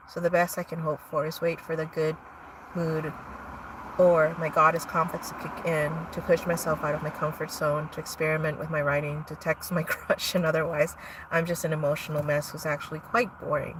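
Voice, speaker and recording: A woman's voice: sits low, level tone, fairly quick, recorded from a distance, background noise. A young woman hosting a livestream, reading her own poems aloud with casual asides between them.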